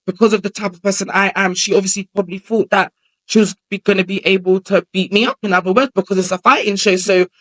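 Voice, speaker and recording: A man's voice: sits high, a bit bright, poor audio. A young man with a feminine voice, talking at length as an interview guest in a casual, rambling way. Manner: chatty, rambling, explains at length with filler phrases.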